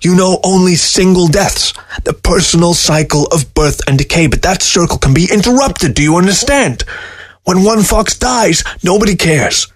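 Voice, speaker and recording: A man's voice: medium pitch, moderate pace, dry recording, voice pushed up, close to an everyday voice. A man voicing a tired, irritable old male taxidermist in a spoken story. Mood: heated. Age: older. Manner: exasperated, defensive.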